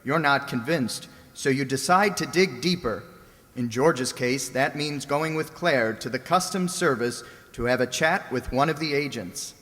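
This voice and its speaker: A man's voice: mid-range voice, unhurried, fairly bright tone, big-room sound. A man reading scripted narration as the announcer of an old-time radio detective drama.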